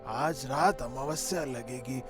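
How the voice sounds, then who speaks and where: Elderly male servant, acted by a man, affable and superstitious, slow delivery, cartoon story with clear music underneath. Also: medium pitch, lightly acted. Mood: flustered, ominous. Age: older. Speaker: caretaker and cook. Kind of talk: Hindi cartoon story voice acting.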